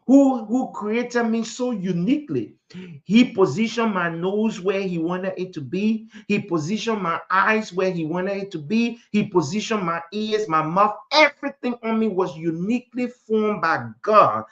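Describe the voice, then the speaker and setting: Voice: mid-range voice, unhurried, fairly bright tone, dull audio. A speaker from Africa preaching a motivational sermon in an emphatic manner, repeating phrases and posing rhetorical questions.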